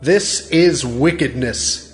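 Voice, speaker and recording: A man's voice: mid-range voice, slow pace, natural voice. A man playing a solemn, declaiming angel messenger in a dramatized audio Bible. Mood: solemn, declaring. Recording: long reverb, music behind.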